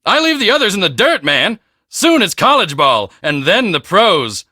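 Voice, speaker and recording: A man voicing a cocky, boastful teenage high school football star in a video game, with a swaggering, emphatic, self-important delivery. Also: sing-song, normal speed, bright, forceful tone, no room sound, studio quality, full character voice. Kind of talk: video game character dialogue.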